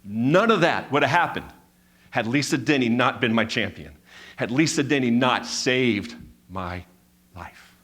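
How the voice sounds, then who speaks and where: A man in his fifties telling a personal story on stage, with a stutter that repeats sounds and words, building his points through measured, emphatic repetition. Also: medium pitch, lively intonation, a bit bright. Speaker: speaker giving a talk.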